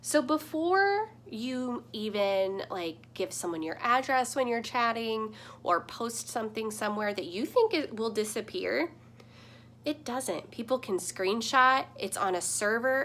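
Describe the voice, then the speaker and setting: A woman's voice: a little high, expressive, unhurried, fairly bright tone, slight background noise. A woman teacher explaining a story to children in a conversational, instructive way.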